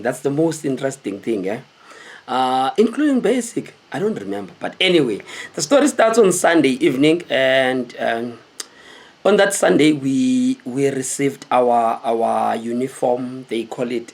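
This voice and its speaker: A South African man in his thirties tells stories casually to the camera in an animated, conversational way, often putting questions to his viewers. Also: a little high. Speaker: host telling stories of his military service. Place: small indoor room with cloth backdrop.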